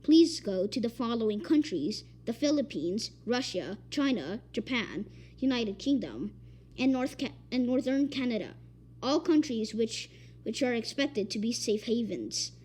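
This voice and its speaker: A woman reading a made-up emergency alert, halting and stumbling over repeated words. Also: a little high, expressive, a little mellow, husky. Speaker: amateur emergency alert announcer. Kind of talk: improvised emergency broadcast reading.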